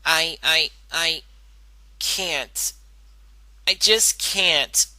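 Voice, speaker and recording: A man voicing a brash but anxious young female cartoon pony, halting and pleading. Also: on the high side, sharp tone, no room sound, pitched above the performer's own voice, heavily acted.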